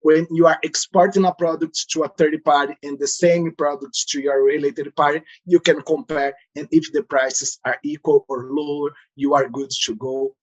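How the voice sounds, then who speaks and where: A man with a Brazilian Portuguese accent, a consultant explaining tax rules in a podcast interview, with frequent pauses and non-native phrasing. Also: on the high side, rough recording. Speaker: transfer pricing consultant.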